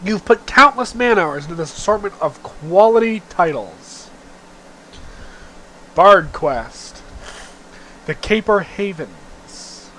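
A man's voice: sits high, lively intonation, takes it slowly, pauses often, bright, forceful tone, a little hiss or hum, poor audio. A young man giving an amateur, theatrical read-aloud of a story, with the odd stumble. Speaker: reader of a webcomic read-aloud.

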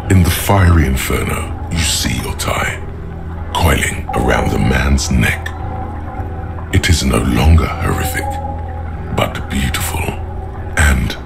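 An actor voicing a grave, all-knowing inner voice in a detective's head, narrating a video game in a measured, solemn way. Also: male voice, over loud music, full character voice. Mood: solemn, awed. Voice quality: deep, gravelly.

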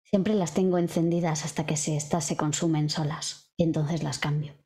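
A woman's voice: low-pitched, fairly even intonation, normal speed, warm tone. A woman with an accent from Spain, improvising descriptions as a player in a tabletop game, hesitant and searching for words. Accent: Spanish (Spain). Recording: faint music behind the voice.

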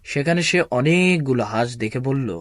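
A man's voice: medium pitch, lively intonation, normal speed, no room sound. A man narrating a children's folk tale as scripted storybook narration.

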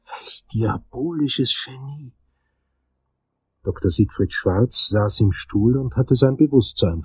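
An actor playing a hard-boiled detective, narrating his case in a deliberate, theatrical crime radio drama style. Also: fairly low pitch, a little mellow, slightly rough, dry recording, exaggerated voice, low-quality recording. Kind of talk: noir first-person crime drama narration.

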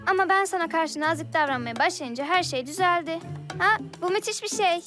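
Very high-pitched excitable cartoon girl. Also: fairly quick. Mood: amazed. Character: excitable, argumentative young female cartoon character. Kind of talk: animated children's cartoon dialogue. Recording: music behind the voice.

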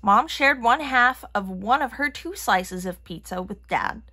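A woman reads a children's picture book aloud at a slow, deliberate pace. Her delivery is expressive, with a lively, widely moving pitch. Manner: expressive, acting out characters' lines. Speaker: storybook reader.

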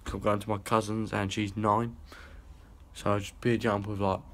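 A man's voice: on the low side, level tone, moderate pace. A young man, rambling and swearing in a casual vlog filmed on a phone held at arm's length. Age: young adult. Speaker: vlogger. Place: small indoor rooms and a hallway.

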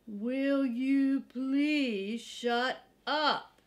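A self-important male cow dog from a children's book, voiced by a woman reader. He grumbles and boasts in a folksy, emphatic way, with slow delivery and a fairly high voice for the part. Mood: irritated, bossy.

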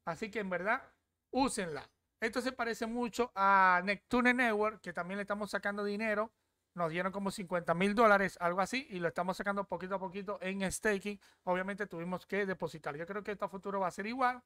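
A young man chats his way through a screen-share tour of an app from a desk at home. His voice is smooth and clean, and it sounds dry and close. He keeps checking in with his viewers as he goes. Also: high-pitched, fairly quick, bright, forceful tone, no echo. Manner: chatty, repeats "¿ok?" often. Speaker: crypto app reviewer. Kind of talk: casual screen-share walkthrough.

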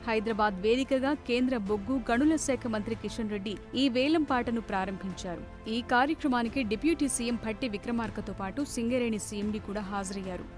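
A woman narrating a scripted news report in a brisk, formal read. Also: fairly high pitch. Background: news music.

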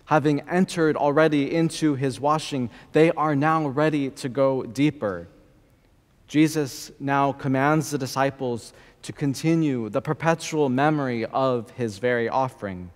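A young man preaching a sermon as a priest, slow and measured, with deliberate pauses, at a church lectern microphone. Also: on the high side. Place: large church, indoors. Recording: long church reverb.

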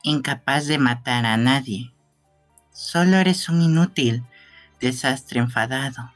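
A man's voice: medium pitch, slow, fairly bright tone, soft background music. A man voicing a scornful, possessive and menacing boy in an amateur video game dub. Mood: scornful, taunting. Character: taunting, possessive boy obsessed with coffins.

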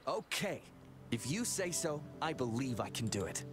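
A man's voice: mid-range voice, very animated, moderate pace, faint music behind, close to an everyday voice. Man acting a thoughtful, self-assured young runner. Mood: measured, keen. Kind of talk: video game voice acting.

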